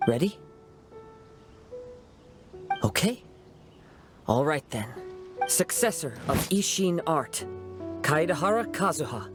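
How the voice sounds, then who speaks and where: An actor playing a gentle, poetic young wandering samurai in a video game, speaking with formal courtesy. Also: male voice, fairly high pitch, very animated, takes it slowly, pauses often. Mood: resolute. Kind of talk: video game character acting. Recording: game music behind the voice.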